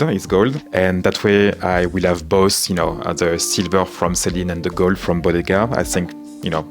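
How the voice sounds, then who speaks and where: A man with a French accent, talking calmly to camera in a casual vlog with frequent fillers. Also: sits low. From France. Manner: calm, measured, frequent "you know" fillers. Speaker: menswear and vintage YouTuber. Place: home in Southern California.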